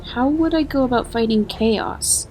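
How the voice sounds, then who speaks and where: A woman's voice: fairly high pitch, measured pace, mellow, soft background music, lightly acted, low-quality recording. A woman playing an inquisitive, earnest female adventurer in a fantasy game, asking questions in a plain, direct way. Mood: curious, earnest. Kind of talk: fantasy game character dialogue.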